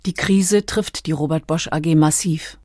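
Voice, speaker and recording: A woman reading scripted documentary narration in a measured, factual manner. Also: sits low, a little mellow, dry recording, studio quality.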